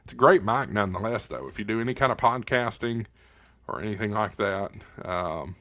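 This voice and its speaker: A man hosting a casual livestream, talking in a folksy, halting way with pauses, close up on a studio microphone. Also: lowish voice, a bit bright. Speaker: livestream host of a ham radio channel. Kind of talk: casual livestream chat answering viewer questions. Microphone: close directional studio condenser microphone, spoken right up on it.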